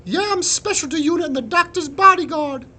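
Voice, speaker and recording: A man's voice: very high voice, moderate pace, sharp tone, slight background noise, pitched above the performer's own voice, heavily acted. A man voicing a feisty young female potato resistance fighter from a story, bold and teasing, with an Irish brogue. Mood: fierce, eager. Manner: teasing, bold, folksy turns of phrase.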